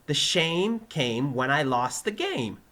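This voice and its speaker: A man's voice: a little high, sing-song, slow pace, bright, forceful tone, voice pushed up, heavily acted. A man voicing an earnest male pupil in a story reading, reciting with laboured, over-enunciated care. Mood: effortful. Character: earnest, struggling male pupil practising careful elocution. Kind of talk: fan fiction reading with character voices.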